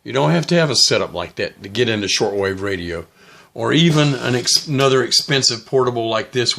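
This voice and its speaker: A middle-aged man with a lowish, gravelly voice that has a firm, bright edge. He talks like a hobbyist showing beginners the ropes on his vlog. His delivery is plain-spoken, unhurried and casual. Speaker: vlogger, shortwave radio hobbyist. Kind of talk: casual explanatory demonstration.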